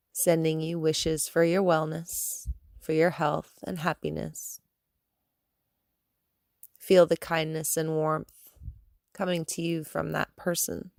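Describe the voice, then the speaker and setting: A woman guiding a meditation as a yoga teacher, speaking in a calm, soothing manner with gentle instructions and long pauses. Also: low voice, vocal fry, no room sound, studio quality. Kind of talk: guided meditation.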